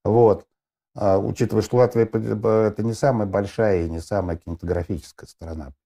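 A middle-aged man with a low, dark, mellow voice. He talks casually as a guest, rambling through fillers, half-words and restarts as he works out his thoughts. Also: steady tone, brisk. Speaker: film director guest. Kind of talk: casual discussion.

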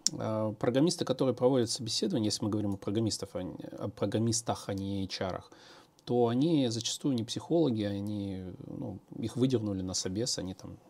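Low-voiced man musing, full of fillers. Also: a little mellow. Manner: hesitant, many fillers and restarts. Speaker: programmer answering viewer questions. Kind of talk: casual Q&A monologue.

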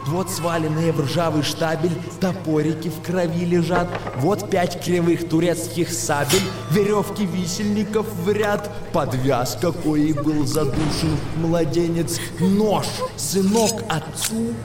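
An actor performs an excitable male storyteller in a radio drama, relishing lurid witchcraft. His voice sits rather high and he declaims verse theatrically and emphatically. Music runs clearly underneath. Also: a little slow, few pauses, natural voice. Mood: grim. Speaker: actor narrating a verse tale. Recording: music behind the voice.

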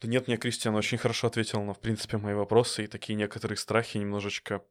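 Chatty low-voiced male podcast co-host. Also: flat delivery, very fast. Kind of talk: casual podcast conversation.

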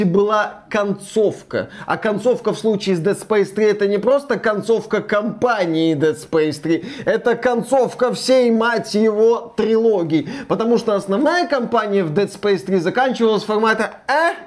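A man with a clearly high-pitched voice co-hosts a gaming talk show. He comments in a conversational, opinionated way, placing emphasis deliberately and keeping his wording colloquial. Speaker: co-host of a video game news podcast.

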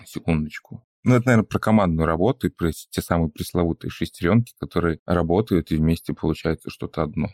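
A man's voice: low-pitched, quick pace, few pauses, a little mellow, no echo, studio quality. A man co-hosting a casual podcast chat, talking in a chatty, upbeat way and laughing often. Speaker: podcast co-host.

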